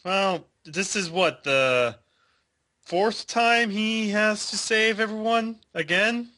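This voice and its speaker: Male panelist, high bright voice, combative and quick to object. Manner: argumentative, quick to push back. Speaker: podcast co-host. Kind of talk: casual review discussion.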